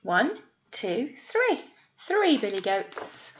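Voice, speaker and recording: A woman teacher reading a picture story aloud to young children, drawing out key words and pausing to ask them questions. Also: a little high, big pitch swings, highs cut off, a little hiss or hum. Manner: exaggerated, drawn-out words with questions to the listeners. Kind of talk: animated read-aloud storytelling with asides to children.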